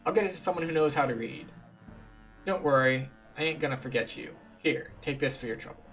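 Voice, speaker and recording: A man voicing a friendly, rustic, uneducated village quest giver in a video game, with animated folksy speech. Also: on the high side, fairly quick, faint music behind. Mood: excited, bumbling.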